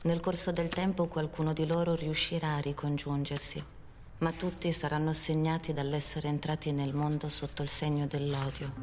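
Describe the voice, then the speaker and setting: A woman narrating a historical documentary in a measured, solemn manner. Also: low voice, flat delivery, a little mellow, close to an everyday voice. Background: music.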